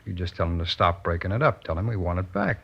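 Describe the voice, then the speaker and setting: An actor playing a pushy, bitter small-time thief in an old-time radio crime drama, insistent in manner. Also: male voice, deep voice, gravelly, breathy edge, heavily acted. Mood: demanding. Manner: insistent, hurried. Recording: dull vintage radio recording.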